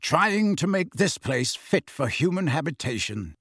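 A grim, cooped-up man in an audiobook, as a male narrator plays him. He sounds bitter and sarcastic, with a husky voice and animated swings of pitch. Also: measured pace, dry recording, studio quality, full character voice. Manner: sarcastic, colloquial.

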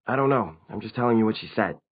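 A man's voice: on the low side, animated, quick pace, breathy edge, dull audio, pitched above the performer's own voice. A man voicing an anxious, earnest, defensive teenage vampire in an audiobook story, with emphatic delivery.

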